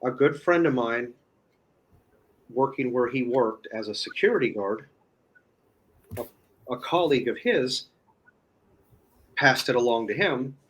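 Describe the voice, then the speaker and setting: A man's voice: medium pitch, animated, a little slow, pauses often, fairly bright tone, breathy edge, rough recording. A man explaining an app as a guest in casual chat, rambling and repeating himself. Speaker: guest demonstrating a phone app.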